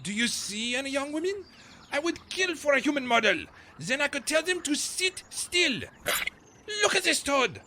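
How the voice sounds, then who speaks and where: An actor playing an exasperated young painter in a comic radio play, excitable and full of complaint. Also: male voice, very high-pitched, normal speed, slight background noise, exaggerated voice.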